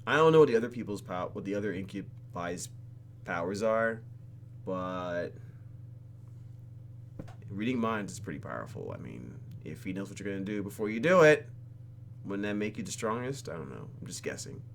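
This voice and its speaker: A man's voice: fairly low pitch, big pitch swings, normal speed, vocal fry, pauses often, slight background noise. A man reading visual novel text aloud for a let's play and reacting to it in a theatrical, exaggerated way. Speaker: YouTube gamer.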